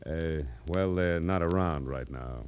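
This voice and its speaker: An actor playing a shady, guarded tough-guy racketeer in a 1940s radio crime drama, gruff and needling with an American accent. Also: extremely low pitch, measured pace, darkish tone. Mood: guarded, sly.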